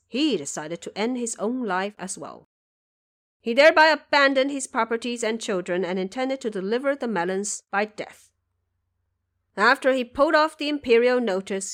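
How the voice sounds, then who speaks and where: A woman narrates an audio drama as a storyteller. Her voice sits at a medium pitch, and her delivery is scripted, measured and formal. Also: a bit bright.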